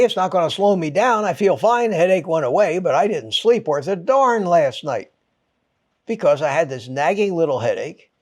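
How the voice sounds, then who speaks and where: Man, channel host, ranting monologue, exasperated, emphatic, swears, mild head cold, slow delivery. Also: on the high side, darkish tone. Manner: emphatic, exasperated, punchy short phrases with pauses.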